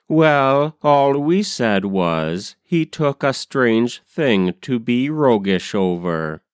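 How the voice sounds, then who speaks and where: A man reading narrative poetry aloud in a measured, deliberate storytelling way. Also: lowish voice, clear, resonant tone, no echo, clean studio sound. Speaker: poetry reader. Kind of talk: measured literary poem recitation.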